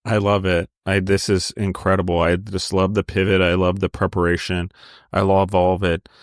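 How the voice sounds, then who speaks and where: A man hosting a podcast, his voice very low and even, smooth and clear in a dry recording. He heaps effusive praise on his guest and leads into his questions at length. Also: moderate pace, no echo. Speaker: podcast host. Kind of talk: interview hosting.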